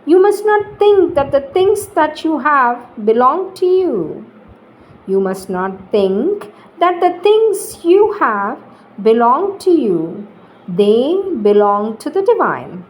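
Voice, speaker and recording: A woman giving a devotional reading of spiritual teachings, deliberate and emphatic, repeating phrases for weight. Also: sits high, very animated.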